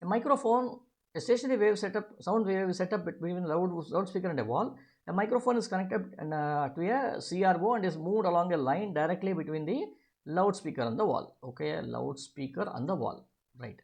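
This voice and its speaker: A physics teacher with a noticeably creaky, mid-pitched voice talks through an exam problem step by step. The delivery is didactic and instructional, with rhetorical questions and small checks along the way. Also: animated, a little fast, darkish tone.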